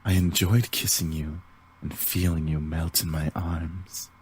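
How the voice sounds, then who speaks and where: Breathy, seductive teasing incubus man. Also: extremely low pitch, little pitch movement, exaggerated voice. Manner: teasing, seductive drawn-out phrasing. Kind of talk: visual novel character acting.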